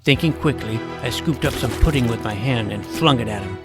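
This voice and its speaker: A man playing an earnest, nerdy schoolboy superhero who narrates his own adventure in a deadpan first-person style. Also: mid-range voice, fairly quick, natural voice. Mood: earnest narrating. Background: music.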